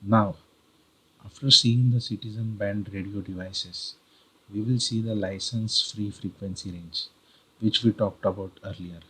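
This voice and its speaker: A man with an Indian accent narrating an explanatory tutorial as host, deliberately, step by step in short phrases. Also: fairly low pitch, steady tone, fairly bright tone, husky, rough recording. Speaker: host of a how-to video channel.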